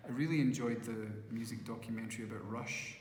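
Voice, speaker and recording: A man's voice: medium pitch, fairly quick, darkish tone, a little hiss or hum. Glaswegian man with a rambling talk. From Scotland. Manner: rambling, with many restarts and acted quotes. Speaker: musician and first-time filmmaker. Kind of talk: casual interview answers. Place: festival venue. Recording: echoey hall, faint ambience.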